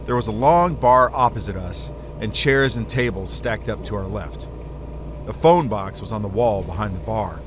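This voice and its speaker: A man's voice: fairly low pitch, moderate pace, noisy background, natural voice. A man playing a cocky, wry, hardboiled hero in a detective audio drama. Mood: wry, confident. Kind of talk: first-person detective drama narration.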